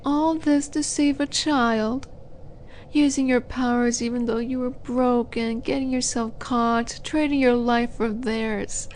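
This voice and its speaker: A woman playing a flirty, condescending female demon in a roleplay audio drama, coaxing the listener in a purr that drops into breathy whispers. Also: a little high, fairly even intonation, slow, darkish tone, a little hiss or hum, poor audio. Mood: coaxing, menacing. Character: flirty, teasing female demon buyer at a dark auction.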